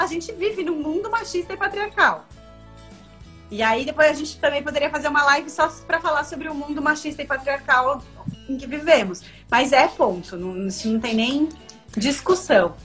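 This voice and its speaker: A woman's voice: high-pitched, fairly bright tone. A woman in her thirties from Brazil, a comics editor talking in a casual, emphatic video-call interview from a room at home, with many fillers and restarts. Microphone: laptop webcam on video call. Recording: dull lo-fi video call audio.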